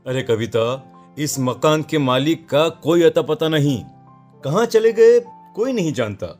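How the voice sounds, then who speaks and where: A man's voice: a little high, expressive, a little slow, a little mellow, soft background music. A man voicing a reassuring, dismissive young newlywed husband in a horror cartoon. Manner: reassuring, brushing worries aside. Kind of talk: Hindi horror cartoon dialogue.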